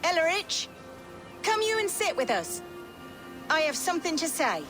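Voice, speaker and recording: Middle-aged peasant sister, proud and protective, animated melody, music underneath. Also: female voice, very high voice, moderate pace. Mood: tender, frank. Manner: earthy, archaic turns of phrase. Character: defiant, plain-spoken poor countrywoman, protective older sister. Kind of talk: dramatic period game acting.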